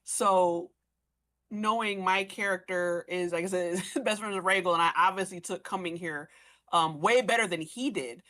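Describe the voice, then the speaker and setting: Woman podcast cast member, slightly low register, informal and conversational, working out thoughts mid-sentence. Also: normal speed, sharp tone. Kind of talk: casual panel chat.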